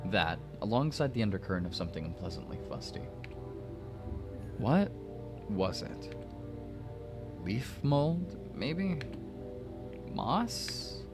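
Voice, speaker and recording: A man's voice: lowish voice, very animated, slow pace, long pauses. A man narrating a story aloud, slipping in playful asides. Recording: music behind the voice.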